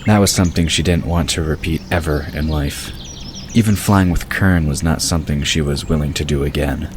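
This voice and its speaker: Male narrator, extremely deep, measured storytelling; hissy recording. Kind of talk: scripted audiobook narration. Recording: hissy recording with bird ambience.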